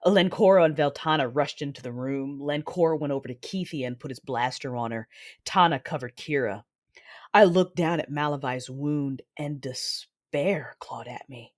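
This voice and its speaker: A woman's voice: very deep voice, normal speed. An actress playing a vengeful, anguished Sith warrior, narrating her own tale in an intense, brooding, theatrical manner for an audio drama. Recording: loud music behind the voice. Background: loud dramatic music.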